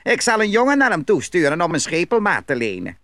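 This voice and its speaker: An actor playing a sly, smooth-talking poor peasant trickster in a dramatised fairy tale, with theatrical feigned hesitation. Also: male voice, high voice, wildly swooping pitch, fairly quick, fairly bright tone, no echo, heavily acted. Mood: gloating.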